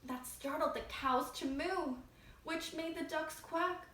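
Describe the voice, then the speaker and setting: A woman reading a picture book aloud to children as a library storytime reader, expressive and engaging them directly. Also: sits high, slow pace. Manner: expressive, asking young listeners questions. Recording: roomy echo, faint background noise.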